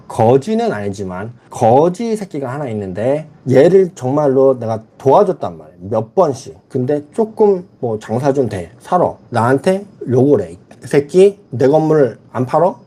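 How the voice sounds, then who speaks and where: A man's voice: mid-range voice, warm tone, husky. A middle-aged man hosting a casual livestream, answering viewer comments bluntly and frankly. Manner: blunt, reads chat comments aloud and replies frankly. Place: lives in the Philippines.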